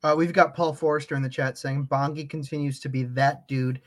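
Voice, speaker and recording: A man's voice: fairly high pitch, fairly even intonation, fairly quick. Upbeat man hosting a soccer show. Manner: upbeat, friendly, frequent fillers. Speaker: podcast host. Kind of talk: casual sports chat, reading chat comments.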